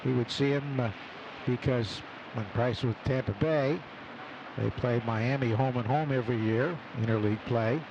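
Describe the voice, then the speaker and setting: A man's voice: mid-range voice, moderate pace, lo-fi sound. A man doing live radio baseball play-by-play in clipped short phrases. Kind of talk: live radio sports commentary. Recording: noisy outdoor ballpark radio broadcast. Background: steady ballpark noise.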